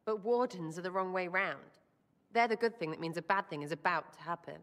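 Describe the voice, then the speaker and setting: A woman's voice: fairly low pitch, a little fast, natural voice. An actress playing a cheeky, impulsive young woman rogue in a video game, talking in slangy, irreverent, blurted fragments. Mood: blunt, chatty.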